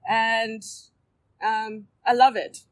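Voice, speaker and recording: Woman, 30s, heartfelt recovery share to a group in a room, halting and candid. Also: fairly high pitch, level tone. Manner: candid, halting, with many ums and restarts. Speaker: recovery meeting speaker. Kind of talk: personal share at a recovery meeting. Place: meeting room before a seated circle.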